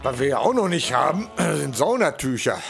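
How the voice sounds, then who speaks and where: Grumbling older man, lively pitch. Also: brisk, creaky, music in the background. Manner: grumbling, complaining, hesitant with many fillers. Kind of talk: casual chat between two older workers.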